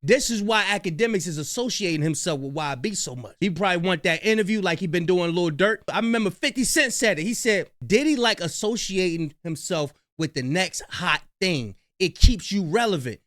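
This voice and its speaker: A man doing reaction commentary in a slangy, animated way, talking straight to his viewers. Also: high voice, brisk, few pauses, dry recording, studio quality.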